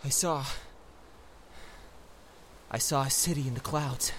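An actor playing an earnest, headstrong young rebel apprentice in an audio drama, with a pleading manner. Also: male voice, medium pitch, fairly even intonation, slow pace, a bit bright, slight background noise. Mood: distressed.

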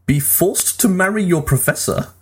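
A man's voice: high voice, lively intonation, moderate pace, slightly rough. A man co-hosting a podcast, chatting casually about video games. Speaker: podcast co-host.

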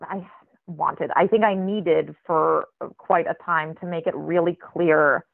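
A writer raised in Israel with a flat American accent, speaking as an interview guest in a thoughtful, searching way with many restarts. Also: medium pitch, slow pace, gravelly, dry recording. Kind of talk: reflective conversational interview. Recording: tinny phone-line recording.

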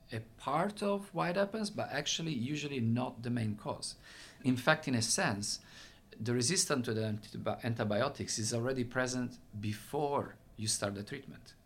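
A man's voice: mid-range voice, a bit bright. A man from Italy with a thick Italian accent, explaining his research in an interview, with many fillers and restarts. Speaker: researcher and center director. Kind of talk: interview answers. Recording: faint background noise.

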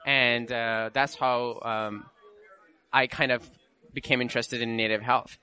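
A man's voice: medium pitch, flat delivery, a little slow, pushed and bright. Hesitant young man. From Pakistan. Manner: hesitant, many ums and uhs. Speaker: public health worker, guest. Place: booth in a conference exhibit hall.

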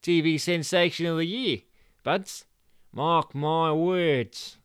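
A man's voice: fairly high pitch, slow, fairly bright tone, smooth, no room sound, clean studio sound, voice pushed up. A man voicing a boastful, reckless male TV director who bosses his cameraman about. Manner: boastful, pushy, swears.

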